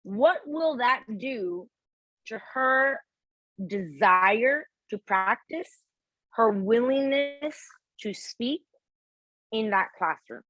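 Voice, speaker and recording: A woman instructor teaching a test prep class, lively and asking the class questions as she explains with examples. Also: fairly high pitch, extremely slow, long pauses, no room sound, lo-fi sound. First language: English.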